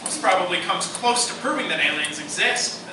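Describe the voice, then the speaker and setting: Young guy acting nerdy earnest college kid, highish voice, echoey live radio play. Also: expressive, normal speed, distant mic. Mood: earnest, intrigued. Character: bookish, earnest male college student, sci-fi fan. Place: live performance room, distant mic. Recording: echoey, noisy live theatre recording from afar.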